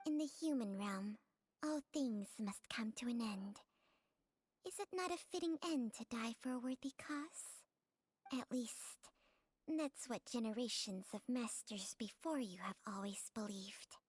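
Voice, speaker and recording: An actress playing a solemn woman with a breathy voice who speaks in hushed tones. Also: on the high side, unhurried. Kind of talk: game cutscene voice acting.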